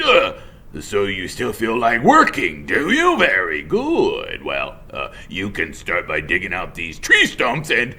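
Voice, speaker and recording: Storybook beaver builder: excitable, stammering, bright and forceful, higher than the narrator. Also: male voice, gravelly, exaggerated voice. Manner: stammering, hesitant, exclamatory.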